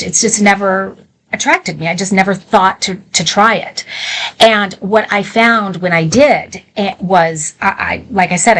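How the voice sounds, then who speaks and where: A woman's voice: medium pitch, fairly bright tone, poor audio. A woman hosting an informal live shopping demonstration, talking in a chatty, candid way with conversational asides.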